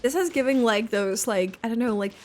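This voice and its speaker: A woman giving casual, halting gameplay reaction commentary, thinking aloud as she goes. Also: a little high, darkish tone. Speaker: game streamer reacting. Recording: game music behind the voice.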